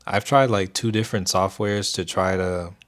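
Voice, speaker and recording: Young male streamer, very deep and soothing, even delivery, laid-back chat. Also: normal speed. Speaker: stock trading livestream host. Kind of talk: casual livestream chat with viewers.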